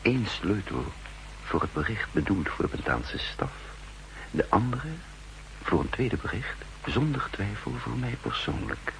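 Slow, gravelly, brooding spy narrator. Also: male voice, lowish voice, big pitch swings, a bit bright, full character voice, poor audio. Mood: grave, suspenseful. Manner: deliberate, grave, introspective. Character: brooding male spy chief narrating his own secret story. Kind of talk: 1960s radio play first-person narration. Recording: 1960s radio recording, faint hum and noise.

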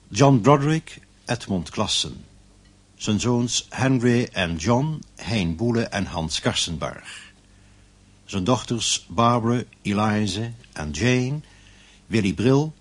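A man announcing radio drama credits in a measured, formal manner with a husky voice. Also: on the low side. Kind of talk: scripted closing credits for a radio serial.

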